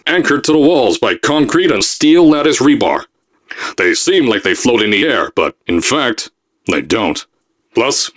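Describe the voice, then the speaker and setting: An actor playing a brash, blustering male company boss recording messages for test subjects in a game. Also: medium pitch. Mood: ranting. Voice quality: loud, boastful salesman bluster. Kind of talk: pre-recorded announcement messages.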